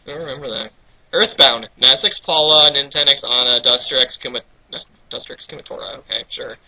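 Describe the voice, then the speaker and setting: A man's voice: mid-range voice, fairly quick, bright, forceful tone, low-quality recording. A man casually co-hosting a fanfiction reading, reading bits aloud with sarcastic, exasperated, sweary commentary. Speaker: co-host of a dramatic fanfiction reading series.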